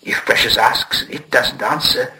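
A man's voice: medium pitch, moderate pace, bright, forceful tone, rough recording. A man voicing a slimy, sly cave creature who hisses and whispers, wheedling and talking to himself in broken grammar. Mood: gleeful. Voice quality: whispering, hissing.

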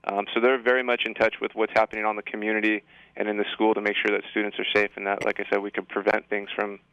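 A man's voice: fairly low pitch, monotone, fast, a bit bright, no echo, dull audio. A man speaking as a school administrator guest in an interview, explaining things in an assured way. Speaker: high school assistant principal guest. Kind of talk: interview answers.

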